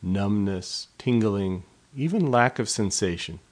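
A man leading a guided body scan meditation as an instructor, speaking in a measured, gently instructive way with pauses between phrases. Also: on the low side, animated.

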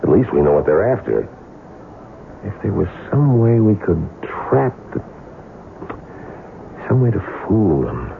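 Casting: evasive scheming impostor, gravelly, swooping intonation, hesitant delivery, 1970s radio drama. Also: male voice, deep voice, sing-song, heavily acted. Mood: calculating. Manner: halting, hesitant, repeating words. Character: cornered, scheming male impostor, evasive and stubborn. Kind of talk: old-time radio drama acting. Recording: muffled, noisy 1970s radio recording.

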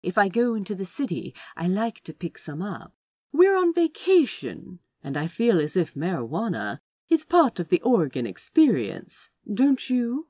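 A woman voicing a genteel, friendly man in a fan fiction audio story, speaking politely with a husky, creaky voice. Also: medium pitch, wildly swooping pitch, moderate pace, darkish tone, no echo, clean studio sound. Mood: casual, amiable. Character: Aziraphale, genteel, friendly man who smokes on occasion. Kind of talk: fan fiction podfic character acting.

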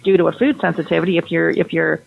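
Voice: mid-range voice, level tone, fast, creaky, husky, poor audio. A fertility health practitioner explaining testing and diet in a conversational interview, often restarting and repeating words.

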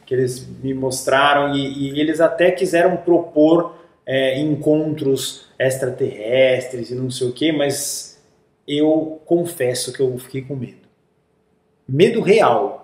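A man's voice: medium pitch, slow pace, echoey room. A man with a Brazilian accent carrying a slight Italian lilt, a guest who tells stories in a casual interview and acts out quotes as he goes. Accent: Brazilian Portuguese, slightly Italian-tinged. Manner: animated storyteller, acts out quotes.

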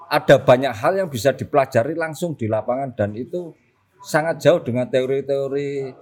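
A man's voice: mid-range voice, moderate pace. Casting: man, Surabaya Javanese accent, easygoing interview guest, jokey. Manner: relaxed, joking, drops into Javanese phrases. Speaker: journalist guest. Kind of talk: casual interview chat.

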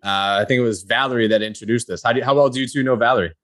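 A man hosting a podcast in an easygoing interview manner. His voice sits at a middle pitch and sounds dry and close, with little room around it. He reads a prepared introduction, then chats casually. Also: very fast, a bit bright, no echo. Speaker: podcast host.

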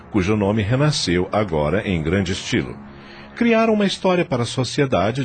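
A man's voice: deep voice, a little hiss or hum. A man narrating a radio drama.

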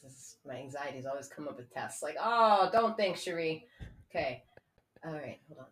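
A woman's voice: low-pitched, animated, normal speed. Woman in early thirties, echoey room. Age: early 30s. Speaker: podcast co-host. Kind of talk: casual personal podcast chat. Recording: echoey room, faint background noise.

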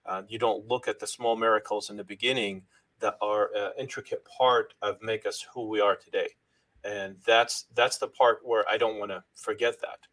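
A middle-aged man from Lebanon with a somewhat low voice, speaking as an interview guest. He talks earnestly and from the heart, circling back and restarting phrases as he goes, and thanks God along the way. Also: fairly even intonation, moderate pace, a bit bright. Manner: religious, thanks God often. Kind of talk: personal story in an interview.

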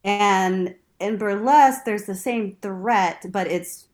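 A woman's voice: mid-range voice, slow pace, darkish tone, faint music behind. A woman from Texas chatting candidly as an interview guest, with a playful, blunt, self-deprecating manner and casual swearing. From Texas, United States. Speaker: interview guest, burlesque performer and instructor.

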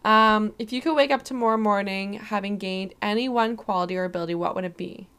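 A soft-spoken young woman asks the questions on a casual chat podcast. Her voice sits in a middle range and sounds a little worn, and she rambles easily, leaning on "like" at every turn. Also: medium pitch, fast talker, clear, resonant tone. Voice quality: soft-spoken, voice often strained. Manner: laid-back, rambling, lots of "like". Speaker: podcast co-host asking questions.

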